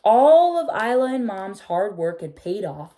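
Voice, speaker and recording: A woman reading a children's picture book aloud, expressive, often slowing and stretching her phrases. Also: mid-range voice. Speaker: read-aloud narrator.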